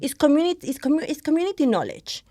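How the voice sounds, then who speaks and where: A woman's voice: high voice, sing-song, fast talker, dark tone, dry recording. Passionate woman guest, dry sound. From Argentina. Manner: passionate, switches into Spanish. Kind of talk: podcast conversation.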